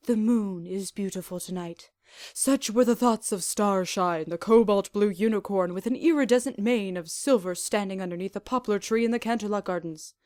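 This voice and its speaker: A woman reading fan fiction aloud as an expressive story narrator. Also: mid-range voice, dry recording, clean studio sound.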